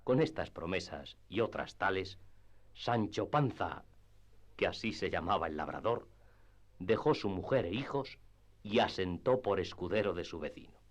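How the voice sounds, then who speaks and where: A man narrating a classic novel for radio drama in a measured storytelling manner. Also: low voice, lively intonation.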